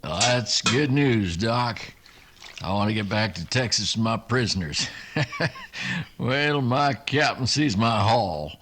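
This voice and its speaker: A man's voice: on the low side, lively intonation, slow, a bit bright, slight background noise. A man playing a plain-spoken, wry Texas lawman sergeant in a western radio drama, with a folksy drawl. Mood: wry good humor.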